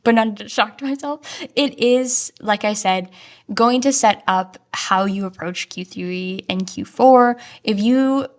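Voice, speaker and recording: A woman's voice: mid-range voice, slow pace. A woman hosting a solo marketing podcast, talking in an upbeat, chatty way with frequent casual fillers. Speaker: podcast host.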